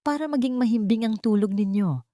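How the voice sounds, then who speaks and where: A woman's voice: on the high side, brisk, warm tone, dry recording, clean studio sound, voice pushed up, lightly acted. A woman voicing a scheming young fiancée in a dramatic romance audio story. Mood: sweet. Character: scheming woman, sweetly tearful fiancée to faces, coldly menacing in secret.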